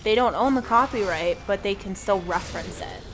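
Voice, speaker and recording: A woman's voice: medium pitch, brisk, over music, rough recording. A woman losing her voice, chatting casually over a video game and thinking aloud with many fillers. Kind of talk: casual chat over gameplay.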